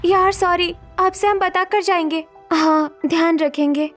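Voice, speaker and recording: A woman voicing a freedom-loving, scheming young wife in cartoon story dialogue, in a chatty, expressive way. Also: very high voice, a little slow, faint music behind. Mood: apologetic.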